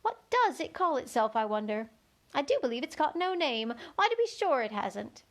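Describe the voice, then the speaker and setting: A woman's voice: high voice, big pitch swings, normal speed. A woman voicing a polite, curious young girl in a children's storybook, thoughtful and earnest with a slightly anxious edge. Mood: puzzled. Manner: musing, earnest. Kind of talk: children's storybook read-aloud.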